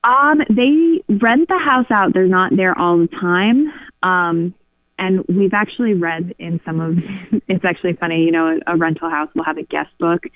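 A woman's voice: lowish voice, normal speed, no echo. A woman caller telling a story casually over a phone line. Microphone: phone call. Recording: narrow tinny phone line.

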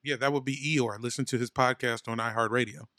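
A man's voice: medium pitch, steady tone, a little fast, bright, forceful tone. A man co-hosting a podcast in casual comedic banter, riffing playfully. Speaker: podcast co-host.

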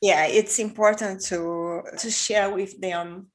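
A woman's voice: mid-range voice, steady tone, takes it slowly. A woman hosting an interview, asking careful questions with some hesitations. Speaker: interviewer host.

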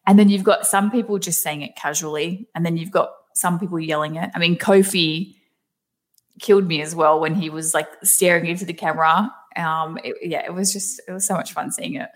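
Young Australian woman, thick accent, giggly and chatty, lowish voice; casual guest chat. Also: steady tone, a little fast.

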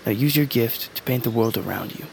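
An actor voicing a blind young man in an audio drama who speaks in a measured, gently teasing way. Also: medium pitch, lightly acted. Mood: earnest. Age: young adult. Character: blind young man, calm, wise and playful. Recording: clear background noise.

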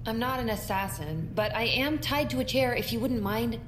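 A woman's voice: a little high, lively intonation, normal speed, noisy background, natural voice. An actress playing a sarcastic, impatient young woman held captive, with a dry delivery in a comedic audio drama. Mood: dry impatience. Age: young adult.

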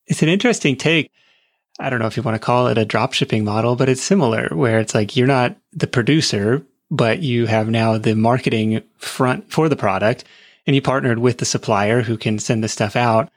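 A man's voice: medium pitch, fairly quick, no room sound, studio quality. A man hosting an interview, summing up what he hears and asking thoughtful follow-up questions. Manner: thoughtful, summarizes and asks follow-ups. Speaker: podcast host.

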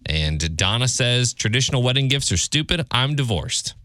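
A man's voice: medium pitch, big pitch swings, normal speed, bright, forceful tone, no room sound, studio quality. An American man co-hosting a radio show with casual banter and sports updates. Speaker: radio co-host and sports reporter. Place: radio studio.

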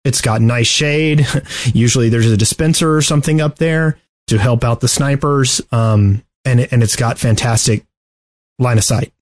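A man hosting a podcast, casually discussing video game strategy in a halting, deliberate way. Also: mid-range voice. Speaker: podcast host.

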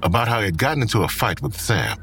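A man's voice: fairly low pitch, sing-song, fast, gravelly, fairly bright tone, slight background noise, full character voice. A man reading scripted third-person narration as the storyteller of an audio drama. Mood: storytelling. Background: music.

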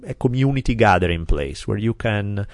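An Italian-accented man hosts a podcast in casual chat. His voice sits low and he wanders through his points with plenty of ums. The studio sound is close and dry. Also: animated, moderate pace, no echo. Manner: chatty, rambling with many fillers. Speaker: podcast host. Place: quiet recording studio.

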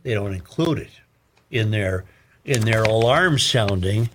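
A man's voice: fairly low pitch, animated, unhurried, background noise. An American man hosting a talk show, reading an article aloud and adding emphatic, opinionated commentary with repeated phrases.

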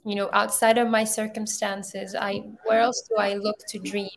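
A woman in her thirties from Ethiopia, talking in a thoughtful, halting way with many restarts, as an interview guest on a video call. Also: mid-range voice, level tone, vocal fry, a little hiss or hum. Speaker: filmmaker guest.

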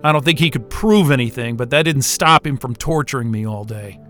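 A man's voice: medium pitch, a little fast, fairly bright tone, soft background music, lightly acted. An actor playing an exasperated, foul-mouthed male astrophysicist in an audio drama, irritable and sarcastic. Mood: low, confiding.

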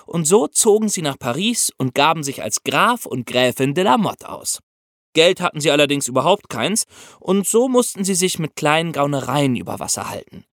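Male podcast storyteller: lively, swooping intonation, higher-pitched voice, explains things straight to the audience. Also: no echo, clean studio sound. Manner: explanatory, addresses listeners directly. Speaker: podcast host. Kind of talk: scripted storytelling for a history podcast.

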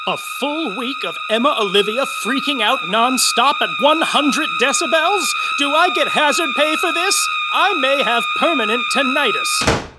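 A woman's voice: sits high, very animated, moderate pace, heavy background noise. A woman playing a wry, chatty narrator of a backstage theatre comedy podcast, with mock-dramatic flair.

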